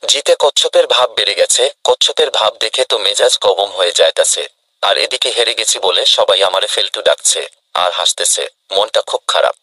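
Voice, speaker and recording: A man's voice: fairly low pitch, expressive, brisk, bright, forceful tone, dry recording, poor audio. A man voicing a grumbling sore-loser rabbit in a children's cartoon, complaining and pleading. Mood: sulky.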